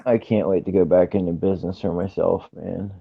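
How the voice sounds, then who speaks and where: Gravelly low man on a headset. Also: flat delivery, fairly quick, dry recording. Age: 30s. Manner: laid-back, rambling with fillers. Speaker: streamer. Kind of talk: casual chat. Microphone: gaming headset. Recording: dull lo-fi stream audio.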